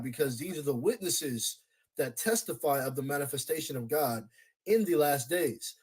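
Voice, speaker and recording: A man with a husky, slightly breathy voice that sits a little high for a man. He preaches insistently in a debate, hammering the same points and breaking in to hold the floor. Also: poor audio. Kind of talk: religious debate.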